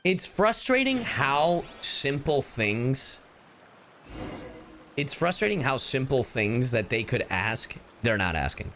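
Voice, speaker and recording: A man's voice: medium pitch, animated, slow, fairly bright tone, slight background noise. A man commenting casually on a game during a livestream, informal and reactive, with some swearing. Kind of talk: casual gaming stream commentary.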